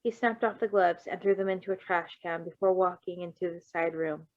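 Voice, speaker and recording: A woman's voice: lowish voice, a little fast, no room sound. A woman reading a story aloud as a narrator, with playful casual asides. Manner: playful, breaks off to riff. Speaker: reader of fan fiction. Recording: dry, slightly muffled recording.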